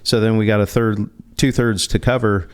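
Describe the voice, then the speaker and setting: Guy financial advisor being interviewed, lowish clear voice, explains stuff and asks his own questions. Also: steady tone, normal speed. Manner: explanatory, poses rhetorical questions. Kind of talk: conversational interview answers.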